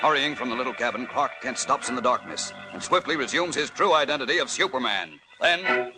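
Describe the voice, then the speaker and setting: A man's voice: mid-range voice, a little fast, loud music behind the voice, rough recording. A man narrating an old radio serial as announcer, suspenseful and emphatic, on a vintage broadcast recording.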